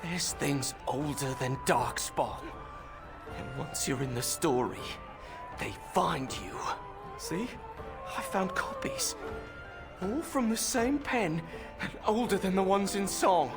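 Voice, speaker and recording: A man's voice: sits high, big pitch swings, slow, music in the background, full character voice. A man playing a frantic, paranoid character in a video game, raving erratically in panic. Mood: haunted.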